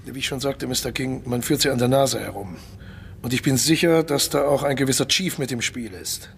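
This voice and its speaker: An actor playing a suave, cynical mercenary colonel and old-hand spy in a radio play, polite but insistent, condescending and menacing. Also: male voice, mid-range voice, fairly quick, gravelly, a little hiss or hum, close to an everyday voice. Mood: suave menace. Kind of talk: German radio play acting.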